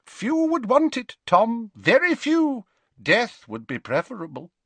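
A man voicing a flustered, uneasy older wizard professor in an audiobook, gruff, faltering and emphatic. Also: extremely high pitch, huge pitch swings, unhurried, fairly bright tone, no echo, voice pushed up, heavily acted. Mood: horrified. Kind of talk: audiobook character voice.

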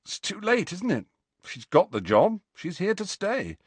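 A man's voice: high-pitched, huge pitch swings, a little slow, husky, no room sound, pitched above the performer's own voice, exaggerated voice. A man doing a grumbling, sarcastic teenage boy. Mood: tired.

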